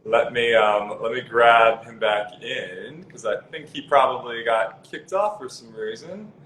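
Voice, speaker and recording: A man's voice: mid-range voice, fairly bright tone. Upbeat male live-stream host, encouraging, roomy echo, faint background noise. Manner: upbeat, encouraging, quick reactions. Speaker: interview host.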